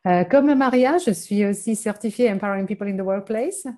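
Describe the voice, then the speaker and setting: A woman from Italy whose first language is Italian speaks in a mellow, mid-pitched voice. She introduces herself as a coach at an online workshop and hesitates often between phrases. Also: brisk. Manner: hesitant, frequent fillers. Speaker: agile coach and HR consultant. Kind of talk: live online workshop presentation.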